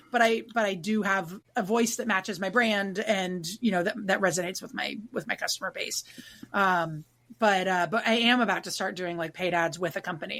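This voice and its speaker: A woman's voice: mid-range voice, gravelly, fairly bright tone. A woman co-hosting a casual podcast, a bakery owner who talks thoughtfully and searches for her words with fillers and restarts.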